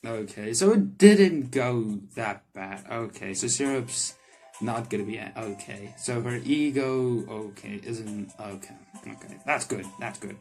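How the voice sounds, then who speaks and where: A man's voice: fairly low pitch, measured pace, darkish tone. A man making a gaming video, reading a visual novel aloud and adding casual commentary. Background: game music.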